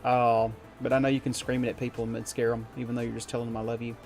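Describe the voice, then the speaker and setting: A man's voice: medium pitch, little pitch movement, quick pace, darkish tone, soft background music. A man from the United States chatting with his viewers while gaming, rambling and chuckling and poking fun at himself. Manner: rambling, chuckling, self-deprecating. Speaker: streamer.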